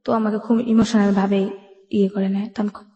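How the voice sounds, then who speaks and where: A woman's voice: medium pitch, little pitch movement, quick pace, mellow, highs cut off. A Bangladeshi woman telling a personal story in an interview, colloquial, often voicing remembered dialogue. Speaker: guest telling their life story. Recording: echoey room with long reverb.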